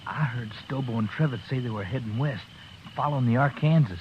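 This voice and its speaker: A man's voice: medium pitch, fairly quick, lightly acted. Casting: male actor as meek young cowboy from Texas, sniveling American voice, old radio western, muffled sound. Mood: timid, aggrieved. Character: timid, sniveling young Texan cowhand. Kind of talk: 1950s radio western acting. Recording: dull, noisy vintage radio recording.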